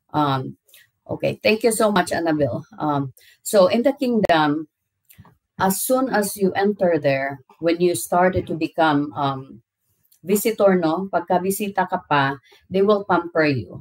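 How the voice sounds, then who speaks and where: A woman's voice: low-pitched, poor audio. A woman with a Visayan accent hosting a casual livestream, chatting with viewers and greeting them by name. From Visayas, Philippines. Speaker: livestream host.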